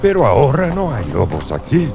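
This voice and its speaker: An actor playing a frightened, superstitious German coachman in a dramatized audiobook, grave and halting, speaking in short ominous phrases. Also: medium pitch, huge pitch swings, creaky, over music. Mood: uneasy, grave.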